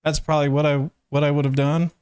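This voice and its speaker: A man's voice: on the high side, little pitch movement, quick pace, a little mellow, clear, resonant tone. A young man who voice acts, giving casual, analytical reaction commentary in a hesitant, searching way. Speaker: voice actor reacting and reviewing.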